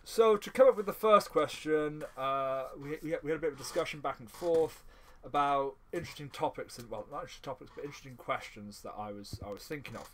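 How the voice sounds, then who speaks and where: A man's voice: on the high side, animated, quick pace, fairly bright tone. A man co-hosting a podcast in a casual, chatty interview, rambling and jokey, often repeating his words as he goes. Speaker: podcast co-host interviewing a friend.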